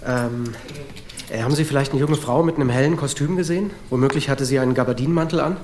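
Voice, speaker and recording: An actor playing a restless, hard-drinking middle-aged husband in a radio drama, speaking haltingly and muttering between fillers. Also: male voice, medium pitch, a little mellow, a little hiss or hum, close to an everyday voice. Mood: anxious. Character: restless, hard-drinking American husband, unsteady and increasingly tipsy. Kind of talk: naturalistic radio drama acting.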